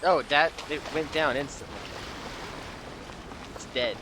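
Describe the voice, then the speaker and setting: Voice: medium pitch, unhurried, background noise. A game streamer giving casual, offhand commentary, often muttering to themself while playing. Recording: clear game music behind. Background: video game music.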